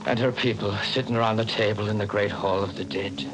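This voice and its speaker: A man's voice: fairly low pitch, fairly even intonation, fairly quick, close to an everyday voice. A man playing a haunted truck driver, telling his story in brooding first-person narration in an old radio horror drama. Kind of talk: 1940s radio acting. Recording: old radio broadcast with heavy hiss.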